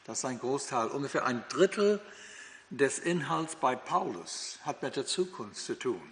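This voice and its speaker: A man's voice: medium pitch, big pitch swings, normal speed, a bit bright. Older American man teaching in a hall. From United States. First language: English. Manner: hesitant, many fillers and restarts. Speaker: Bible teacher. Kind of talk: Bible teaching talk. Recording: long hall reverb.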